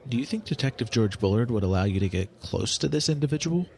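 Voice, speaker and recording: An actor playing a clinical, curious non-human researcher in an audio drama, formal and precise, fascinated by humans in a detached way. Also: male voice, medium pitch, animated, quick pace, gravelly, darkish tone. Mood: eager, scheming.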